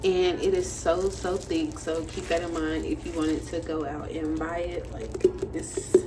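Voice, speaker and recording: A young woman with a slightly raspy voice, chatting casually and in a relaxed way through a hair-care tutorial as a beauty vlogger. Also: low-pitched, a little slow, very noisy.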